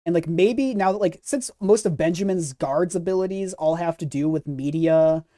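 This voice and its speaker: Stammering streamer, mellow, very dry sound. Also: mid-range voice, moderate pace, no echo. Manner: hesitant, stammering repetition of words while thinking aloud. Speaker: host of a manga discussion stream. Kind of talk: casual theory chat, responding to live comments.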